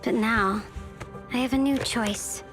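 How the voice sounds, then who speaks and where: An actress playing a composed, sharp-tongued young female fighter in a video game. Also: fairly high pitch, slow pace. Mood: cool, grave. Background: loud game music.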